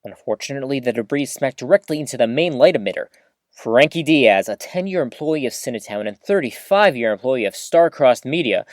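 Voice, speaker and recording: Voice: medium pitch, no echo, studio quality, natural voice. An actor playing a rambling, easily flustered small-town radio host giving a live broadcast in an audio drama, awkward and chatty. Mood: reporting. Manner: awkward, animated, throat-clearing, chatty.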